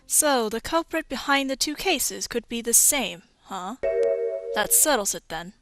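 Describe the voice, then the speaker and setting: A woman's voice: on the high side, expressive, a little slow, music in the background. A woman voicing a hostile, scornful scientist in a game fandub, curt and sneering in her delivery. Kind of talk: video game fandub acting.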